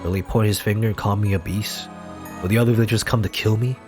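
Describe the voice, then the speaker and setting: A man voicing a brooding male beast-man who hides among humans, speaking in low, hushed, anxious tones. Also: fairly even intonation, quick pace, music in the background, natural voice. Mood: fearful.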